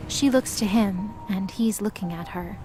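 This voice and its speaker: A woman's voice: medium pitch, unhurried, music in the background. A woman reading scripted narration for a mythological audio drama. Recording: clearly noisy background.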